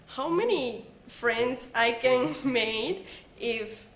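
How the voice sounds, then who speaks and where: A woman from Mexico with a Mexican accent and Spanish as her first language, talking haltingly as a guest and searching for her words. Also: a little high, animated, creaky, reverberant. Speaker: guest, former call center agent. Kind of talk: casual conversation practice.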